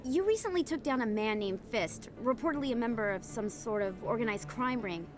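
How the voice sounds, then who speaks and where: A woman's voice: a little high, lively intonation, fairly quick, lightly acted. An actress playing an eager young woman journalist who asks probing questions. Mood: inquisitive. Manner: probing, inquisitive. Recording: game scene with music.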